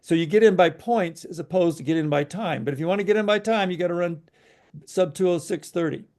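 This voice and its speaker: A man's voice: high-pitched, a little fast, darkish tone. A man co-hosting a podcast, giving frank opinions in casual chat, hesitant and full of fillers. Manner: frank, hesitant, many "you know" fillers. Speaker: podcast co-host.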